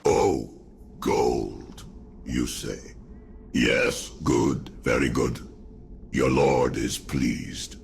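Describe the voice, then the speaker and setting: A man voicing a giant undead spider lord in a fantasy game cutscene, gloating and crooning grandly in a creaking croak. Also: slight background noise, heavily acted. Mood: pleased. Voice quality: deep gravelly creaking croak. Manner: slow, crooning, speaks grandly of himself.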